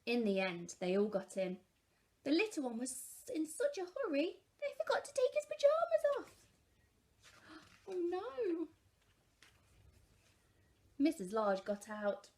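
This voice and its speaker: Female storyteller for young children, slightly high pitch, animated and expressive reading. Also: unhurried, pauses often.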